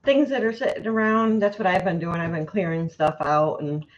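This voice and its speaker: A woman's voice: fairly low pitch, fairly even intonation, moderate pace. A woman co-hosting a live stream, chatting casually and laughing often. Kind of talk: casual chat. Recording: dull lo-fi stream audio.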